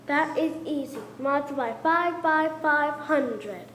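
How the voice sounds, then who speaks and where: A child acts out a persuasive swindler in a school puppet play. The voice is high and carries through an echoey hall with a long reverb. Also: slow pace, a bit bright. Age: child, first grader. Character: smooth-talking swindler tempting a gullible puppet. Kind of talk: children's puppet show recitation. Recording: echoey hall, faint noise.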